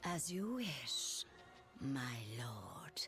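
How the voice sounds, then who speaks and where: A woman's voice: a bass voice, sing-song, very slow, full character voice. An actress playing a scheming, imperious queen of the dead in a video game, haughty and theatrical. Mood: grudging submission. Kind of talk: video game cutscene acting. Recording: loud orchestral music behind. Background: loud music.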